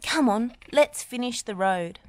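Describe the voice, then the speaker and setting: A woman's voice: on the high side, lively intonation, unhurried, no echo, voice pushed up. A woman voicing a capable, earnest female team leader with an urgent, decisive manner in a children's storybook.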